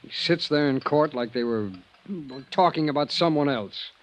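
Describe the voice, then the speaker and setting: A man's voice: on the high side, moderate pace, lightly acted. An actor playing a worried, resigned insurance company man in a 1950s radio drama.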